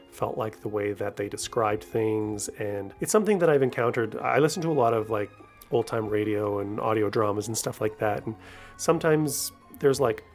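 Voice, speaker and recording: Chatty male podcast host over faint music. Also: medium pitch. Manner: chatty, rambling, conversational. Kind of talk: casual solo commentary.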